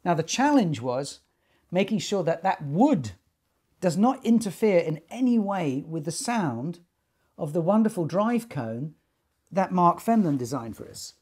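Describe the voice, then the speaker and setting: A man in his sixties giving an informal explanatory talk to camera, speaking in measured, deliberate phrases with pauses. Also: sits high, very animated, a little mellow, husky. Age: 60s. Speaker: loudspeaker designer and company technical director. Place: small attic listening room indoors.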